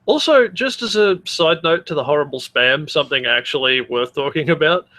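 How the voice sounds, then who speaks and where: A man's voice: on the high side, expressive, moderate pace, bright, forceful tone. A man co-hosting a comedy podcast in casual banter, sardonic and prone to swearing. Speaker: podcast co-host. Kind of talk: casual banter and mock-dramatic readings.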